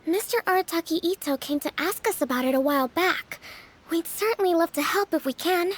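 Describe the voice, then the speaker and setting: A woman playing a poised young lady in a game cutscene, polite and measured in manner. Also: extremely high pitch, slight background noise. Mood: guarded, polite.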